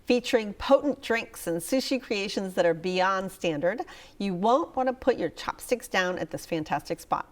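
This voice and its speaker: Brisk woman hosting a review show. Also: mid-range voice, animated. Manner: brisk, moves guests along with short prompts. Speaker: host.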